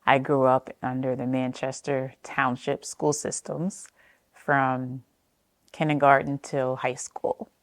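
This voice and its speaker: Low-voiced New woman, unhurried. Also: little pitch movement, dry recording. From New Jersey. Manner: gentle and unhurried, with frequent "um" and soft trailing phrases. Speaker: oral history interviewee. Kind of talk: reflective personal storytelling.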